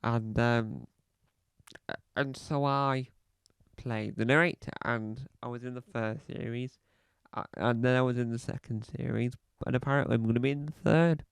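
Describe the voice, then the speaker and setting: Man plays a pompous podcaster with a cough, halting and repetitive, dry close mic. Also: mid-range voice, fairly even intonation, vocal fry, smooth, no room sound, natural voice. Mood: rambling. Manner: rambling, halting, fond of repeating phrases. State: slight cough. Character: self-important male celebrity podcaster, rambling. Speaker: comedy podcast host character. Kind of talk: scripted comedy monologue.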